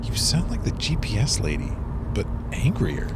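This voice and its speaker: A man's voice: on the low side, wildly swooping pitch, moderate pace. A man playing a playful, childlike goofball on a road trip in a comedic audio drama, enthusiastic and teasing. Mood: pleading, teasing. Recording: car and road noise behind.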